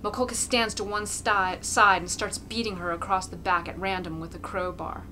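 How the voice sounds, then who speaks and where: A woman's voice: mid-range voice, fairly even intonation, normal speed, bright, forceful tone, a little hiss or hum. A woman amateur reader giving an intense, theatrical narration of a classic novel.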